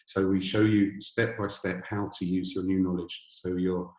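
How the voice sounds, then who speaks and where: A man with a boomy voice presents a webinar on a video call from an empty borrowed office, talking hesitantly with many restarts and fillers. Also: flat delivery, moderate pace, a little mellow, roomy sound. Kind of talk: informational webinar talk. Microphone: computer mic on a video call.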